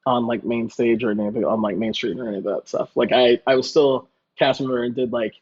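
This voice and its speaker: A man's voice: mid-range voice, steady tone, brisk, darkish tone, breathy edge, dull audio. A man chatting casually as a guest chef, telling stories in a joking, self-deprecating way. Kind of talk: casual conversational storytelling.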